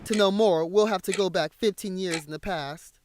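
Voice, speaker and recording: A man's voice: high voice, normal speed, a little hiss or hum. A man reading scripted news narration as a reporter.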